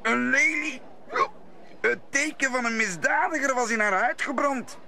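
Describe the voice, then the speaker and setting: A man's voice: very high voice, unhurried, vocal fry, bright, forceful tone, heavily acted. An actor playing a brooding, worldly musketeer nobleman in an old Dutch radio play, telling things with dramatic deliberation. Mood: bitter, dramatic.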